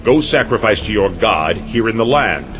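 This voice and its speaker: A man voices Pharaoh in an audio drama, a proud king with a lowish, expressive voice that commands. The sound is distant and dull, with music behind him. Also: moderate pace, lightly acted. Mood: imperious. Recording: distant, muffled voice under music.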